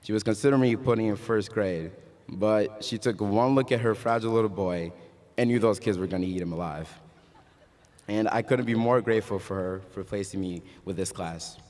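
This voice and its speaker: A young man from Ghana speaking at his graduation, his voice very low and set in the long reverb of a large hall. He mixes casual jokes with earnest encouragement. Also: a little fast, darkish tone. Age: young adult. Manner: casual joking, then earnest and uplifting. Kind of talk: commencement speech.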